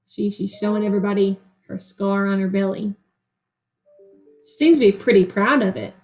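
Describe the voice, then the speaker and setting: A woman reading a picture book aloud like a teacher, expressively, with explanatory asides and pauses for young listeners to answer. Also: mid-range voice, darkish tone, soft background music.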